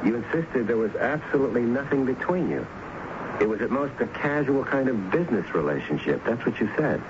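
An actor playing a skeptical police lieutenant in a 1970s radio drama, probing with puzzled, hesitant questions. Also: male voice, medium pitch, animated, fairly quick, lightly acted. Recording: dull, noisy 1970s radio broadcast recording.

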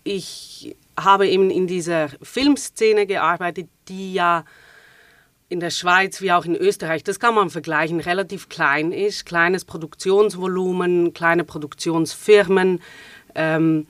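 A woman's voice: fairly low pitch, a little slow, no echo. Swiss woman, dry close sound. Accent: Swiss German. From Switzerland. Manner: relaxed, with frequent fillers and restarts. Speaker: guest. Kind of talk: casual interview conversation.

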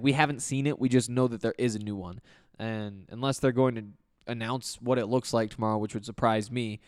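A young man, slightly hoarse after losing his voice, hosting a casual solo sports podcast and rambling with lots of fillers. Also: medium pitch, a little mellow, dry recording, studio quality. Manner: rambling, full of fillers and restarts.

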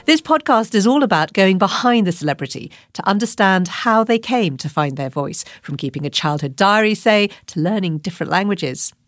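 A woman hosting a podcast, reading a scripted introduction and asking interview questions in a clear, measured way. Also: medium pitch, lively intonation, no echo, studio quality. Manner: clear, measured presenter delivery. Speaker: podcast host.

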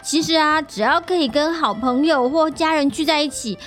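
A woman's voice: high voice, normal speed, fairly bright tone, faint music behind. A woman chatting casually and livelily as a podcast guest.

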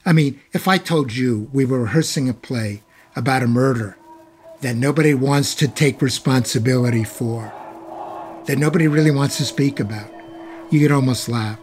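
A man from New York with a husky voice, a scholar giving commentary in an interview. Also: mid-range voice, normal speed, a little mellow, a little hiss or hum. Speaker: Shakespeare scholar, university teacher.

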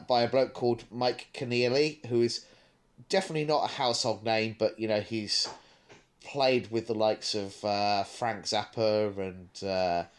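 A man with a medium-pitched voice chats as a podcast co-host. He talks in a casual, discursive way, working out his thoughts as he goes and slipping in hesitant asides.

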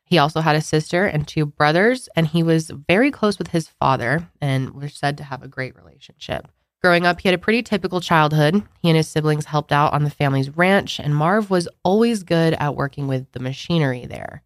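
A woman's voice: sits low, a little fast, no echo, clean studio sound. A young woman co-hosting a podcast, chatting and narrating a story, nasal with a scratchy throat from a cold. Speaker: podcast co-host. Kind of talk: casual chat and narration.

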